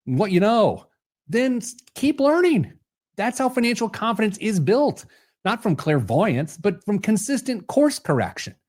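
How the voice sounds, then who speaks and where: A man presents a scripted solo podcast monologue with a highly varied, swooping intonation. His manner is conversational and wry, with a joking slant to the delivery. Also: a little mellow. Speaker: podcast host. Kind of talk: scripted personal finance monologue.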